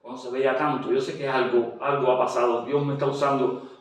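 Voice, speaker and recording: A man's voice: mid-range voice, flat delivery, fairly quick, distant mic. Cuban-accented man in an echoey room. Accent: Cuban Spanish. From Cuba. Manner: hesitant, with frequent fillers and restarts. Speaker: interview guest, general doctor. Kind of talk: testimony interview.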